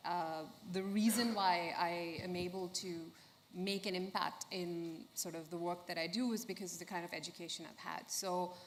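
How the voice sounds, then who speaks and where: Measured woman investor from India. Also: fairly low pitch, fairly even intonation, slight background noise. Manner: measured, with frequent "sort of". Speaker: investor, fund manager. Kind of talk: panel discussion. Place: conference hall stage.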